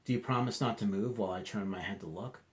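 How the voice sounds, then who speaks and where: A man voicing an eager but wary male pet in a children's storybook, excitable and insistent. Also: on the low side, fast talker, lightly acted.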